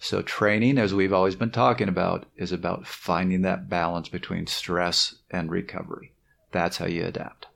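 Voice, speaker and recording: A man hosting a podcast, giving a steady explanatory summary on training. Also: low voice, normal speed, gravelly. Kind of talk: podcast discussion.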